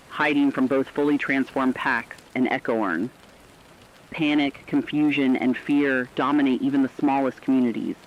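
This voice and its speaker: A man narrating a scripted emergency radio broadcast in a grave, measured manner. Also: mid-range voice. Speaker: radio announcer. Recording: slightly dull audio with faint rain noise.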